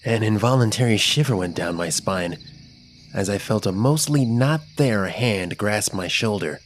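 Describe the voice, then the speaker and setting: A man narrating a scripted first-person children's story in an expressive, dramatic storytelling manner. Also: lowish voice, unhurried, faint music behind.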